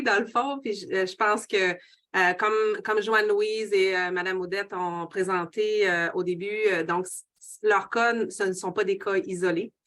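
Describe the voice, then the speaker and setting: A woman, an occupational therapist answering a panel question in halting speech. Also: on the low side, fairly bright tone. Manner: halting, repeats words.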